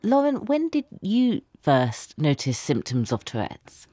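A woman's voice: low voice, huge pitch swings, a little slow, dark tone, no echo. A woman interviewer asking brief questions that prompt her guests.

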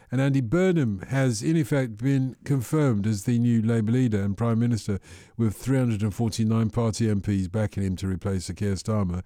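A man's voice: fairly low pitch, no room sound, studio quality. A man presenting an overnight radio phone-in, chatting casually and reading the news headlines. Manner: relaxed, chatty, quick asides.

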